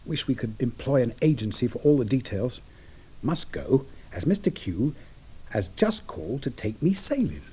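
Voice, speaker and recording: An actor playing a mild, affectionate Edwardian gentleman bridegroom in a period radio drama, diffident and whimsical as he woos. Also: mid-range voice, sing-song, normal speed, slightly rough. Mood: mild, practical.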